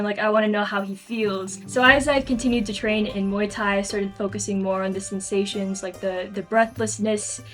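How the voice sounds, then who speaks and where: A woman talks as a guest in a relaxed interview. Her delivery is conversational and earnest, with clear enthusiasm as she reflects. Music plays in the background behind her mid-pitched voice. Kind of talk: reflective storytelling in a casual interview.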